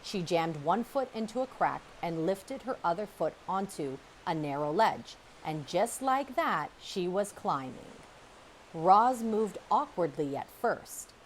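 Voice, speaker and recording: Female storybook reader, lively pitch swings, deliberate dramatic pacing, faint hiss behind the voice. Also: poor audio. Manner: expressive, measured storytelling with dramatic pauses. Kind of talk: children's audiobook read-aloud, scripted narration.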